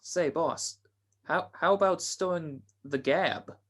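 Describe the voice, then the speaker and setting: Man acting a slow-talking gangster henchman. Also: on the high side. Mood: impatient. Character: gruff, impatient male gangster henchman. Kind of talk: 1940s radio crime drama acting.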